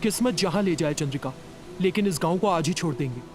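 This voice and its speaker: A man's voice: fairly high pitch, lively intonation, fast, soft background music, lightly acted. A man playing an anxious, earnest village husband in a cartoon story. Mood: worried. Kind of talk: Hindi cartoon story voice acting.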